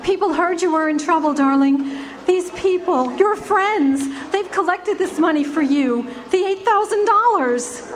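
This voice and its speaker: A woman acting in a live community radio play, voicing a tender, excited wife. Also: very high voice, a little slow. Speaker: actor playing Mary.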